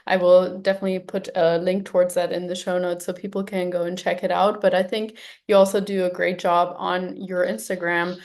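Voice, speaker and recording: A woman's voice: fairly low pitch, flat delivery, fairly quick. A woman hosting a podcast, responding appreciatively to her guest with frequent ums. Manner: warm, appreciative, with filler ums. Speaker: podcast host. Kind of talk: casual interview chat.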